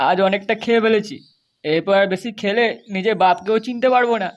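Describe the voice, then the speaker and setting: A man's voice: sits high, fast, highs cut off, lightly acted. A man voicing a crude, quarrelsome village man in an adult comedy cartoon, brash, foul-mouthed and exaggerated. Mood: drunken bickering.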